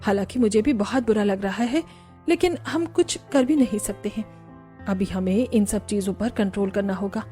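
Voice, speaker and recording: A woman voicing a worried middle-aged mother-in-law in a family cartoon, speaking in a measured, concerned way. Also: medium pitch, mellow, soft background music, voice pushed down, natural voice. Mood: sad, worried. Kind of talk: Hindi family cartoon voice acting.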